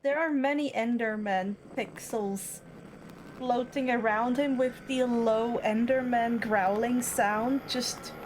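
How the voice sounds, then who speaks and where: A woman's voice: on the high side, slow, a little hiss or hum, poor audio. A woman running a tabletop roleplaying game as game master, narrating scenes and giving rulings to the players.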